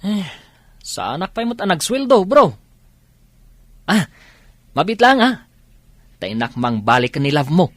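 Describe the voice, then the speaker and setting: An actor with an Ilocano Filipino accent playing a working man, an expressive buddy who is needled into lending, in a radio drama. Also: high voice, takes it slowly, pauses often, dry recording, full character voice. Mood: surprised, reluctant.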